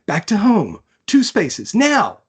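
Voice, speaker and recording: Man voicing a commanding woman pilot, raised pitch. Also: huge pitch swings, slow, breathy edge, full character voice. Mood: urgent command. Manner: shouted clipped orders. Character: commanding woman skiff pilot, urgent and decisive.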